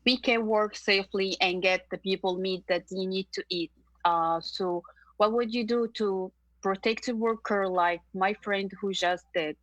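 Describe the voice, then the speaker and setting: A woman from Egypt, a meat plant worker, asking her question haltingly with many fillers. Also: medium pitch, fairly even intonation, a bit bright, no echo, rough recording.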